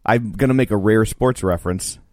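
A man's voice: on the low side, fairly quick, warm tone, no room sound, studio quality. A man hosting a podcast in casual, joking chat. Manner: joking, wry. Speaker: podcast host.

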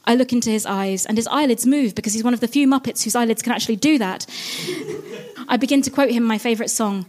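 A woman with a high voice performs a scripted comic story in the first person. Her delivery is dramatic and self-mocking, in the manner of a stage storyteller. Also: fast talker, a little mellow.

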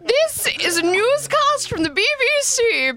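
A woman's voice: very high-pitched, big pitch swings, measured pace, a crowd in the background, full character voice. A woman voicing a prim, officious 1930s radio newsreader giving mock formal announcements.

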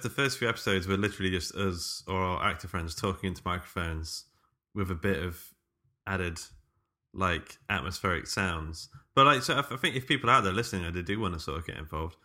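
A man hosts in a casual, conversational style. His voice is very low, yet bright and forceful in tone. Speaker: podcast host. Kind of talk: casual discussion.

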